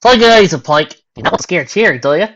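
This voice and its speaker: A woman's voice: on the low side, animated, brisk, background noise, voice pushed up, lightly acted. A woman voicing a forthright, teasing, down-to-earth female farm pony in a story reading. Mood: insistent. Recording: dull lo-fi recording.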